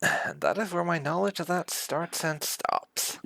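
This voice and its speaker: A man's voice: on the high side, huge pitch swings, measured pace, vocal fry, bright, forceful tone, no echo. A man giving casual gameplay commentary as host, with dry, muttered asides. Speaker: gaming channel host.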